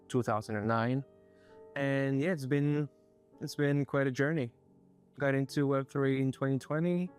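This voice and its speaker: A young man from Spain, an artist being interviewed, speaking in a soft, thoughtful way with many pauses and fillers. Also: mid-range voice, clean tone. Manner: soft-spoken, thoughtful, hesitant, frequent fillers. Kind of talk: casual interview. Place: art gallery.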